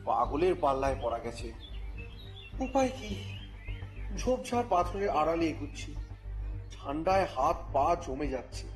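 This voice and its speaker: Audio story lead: wry, exasperated reporter telling his detective tale, high-pitched male, music bed behind. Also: poor audio. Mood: tense, exasperated. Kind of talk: dramatized first-person story narration.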